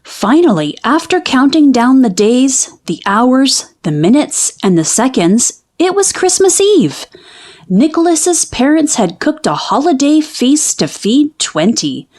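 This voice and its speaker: Female storybook narrator, higher-pitched and very animated, for children's read-aloud. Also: measured pace. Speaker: children's book reader.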